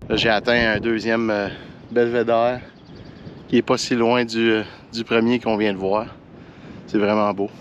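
A man with a Quebec French accent chatting casually to the camera as a hiking vlogger, in a relaxed, colloquial and slightly halting way. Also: on the low side, lo-fi sound, faint music behind. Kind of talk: casual vlog chat to camera. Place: outdoors on a forest hiking trail and mountain summit. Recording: outdoor ambient noise behind voice.

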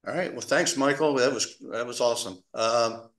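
A man's voice: mid-range voice, moderate pace, a bit bright, roomy sound. A man chairing an online meeting, casual and hesitant with many fillers, speaking over a video call. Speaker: meeting moderator.

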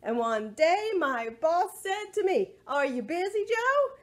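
Animated, high-voiced woman leading children's storytime. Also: slow pace, a little hiss or hum. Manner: animated, playful, sing-song delivery. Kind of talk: children's storytime read-aloud and action songs.

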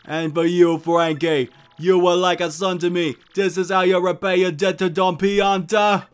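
A man voicing a gruff, bossy mob boss and sentimental father in a cartoonish game, talking in blustering wiseguy slang. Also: high voice, little pitch movement, moderate pace, soft background music, lightly acted. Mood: angry, disappointed.

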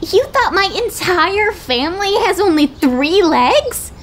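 A woman voicing an eager, playful young female lion cub in a small, childlike, excitable and innocent voice for a comic dub. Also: very high-pitched, takes it slowly, slight background noise, full character voice. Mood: chatty. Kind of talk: comic dub character acting.

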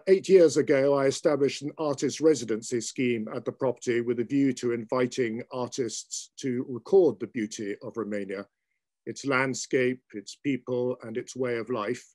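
Older British man, formal and measured. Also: mid-range voice, darkish tone. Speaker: invited guest speaker, arts patron. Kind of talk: prepared speech at an online launch event. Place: book-lined room at home. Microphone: video call, seated at computer.

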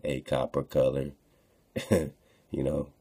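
A man's voice: a bass voice, little pitch movement, takes it slowly, warm tone, husky. A man casually hosting an unscripted online show, rambling, repeating phrases and using slangy filler. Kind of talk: casual unscripted commentary while browsing on screen.

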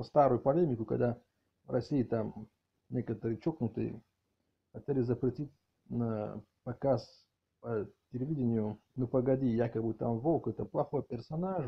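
A middle-aged man with a husky voice doing a mock-outraged comic rant, halting and repeating words as he exclaims. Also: medium pitch. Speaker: video channel host. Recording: dull lo-fi audio, faint music behind.

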